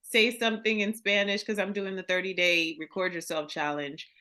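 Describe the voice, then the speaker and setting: A woman, interviewing on a video call from a room at home, telling animated stories and acting out quoted lines. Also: mid-range voice, moderate pace, a loud crowd. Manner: animated storyteller, acts out quoted lines, laughs often.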